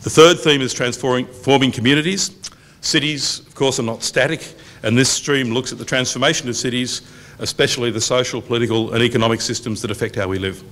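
A man's voice: mid-range voice, fairly even intonation, fairly bright tone. Middle-aged man giving a formal welcome. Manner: measured, reads out long lists evenly. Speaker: university dean opening a public event. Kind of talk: formal welcome speech at a lectern. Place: university function room, indoors, medium size. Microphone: lectern microphone on a boom stand.

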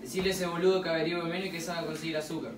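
A man's voice: fairly high pitch, level tone, racing, close to an everyday voice. A man with an Argentine accent playing a grumpy, curt soldier guarding supplies, in stage play dialogue. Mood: irritated. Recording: echoey distant room recording, clear noise.

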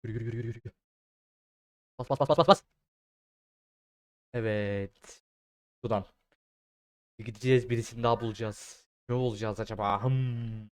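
Clear-voiced, foul-mouthed young man. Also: on the low side, normal speed, long pauses, dry recording, clean studio sound. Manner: excitable, repeats words in bursts. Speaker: gaming streamer. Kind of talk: casual trolling game commentary.

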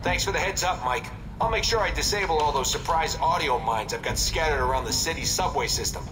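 An actor playing an eccentric, manic paranoid ally in a video game, theatrical and slangy, swinging between chirpy and menacing. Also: male voice, fairly high pitch, lively intonation, fairly quick, recorded from a distance, music in the background, lightly acted, poor audio. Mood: manic, playful. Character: eccentric, manic paranoid adult male ally, gleefully unhinged. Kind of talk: video game cutscene acting.

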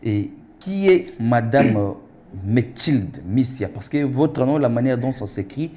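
A man's voice: mid-range voice, lively intonation, a little slow, mellow. A man in his thirties hosting a TV studio interview, formal and ceremonious, with frequent hesitations. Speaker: TV talk show host. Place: TV studio set.

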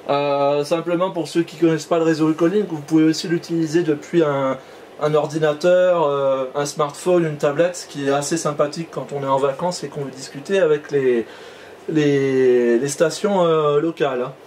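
A man's voice: a little high, fairly even intonation, moderate pace, darkish tone, slight background noise. A man chatting casually as an amateur radio operator, hesitantly, often repeating and trailing off in his phrases. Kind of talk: casual radio contact chat.

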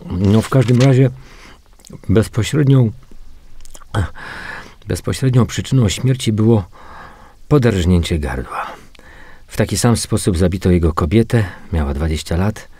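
An actor playing a matter-of-fact, weary male police investigator in a radio drama, muttering and repeating himself haltingly. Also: sits low, warm tone, husky, no echo, exaggerated voice. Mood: absorbed, grim. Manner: halting, muttering repetitions while reading out reports. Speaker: actor in an audio crime drama. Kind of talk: naturalistic radio drama dialogue.

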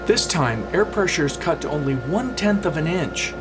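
A man in his fifties, a woodworking instructor, giving a steady scripted explanation in a small home workshop. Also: fairly high pitch, normal speed, music in the background. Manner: steady, explanatory, gestures while talking.

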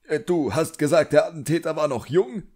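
Man voicing a high-pitched, blustering wizard official. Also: lively intonation, a little fast, a little mellow. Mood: pompous, threatening. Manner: indignant, pompous, barking. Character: irritable, blustering, contemptuous middle-aged male wizard official.

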